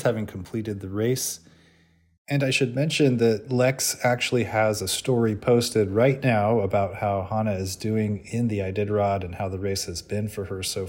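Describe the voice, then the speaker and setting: Low-voiced male podcast host. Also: darkish tone. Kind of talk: scripted podcast intro.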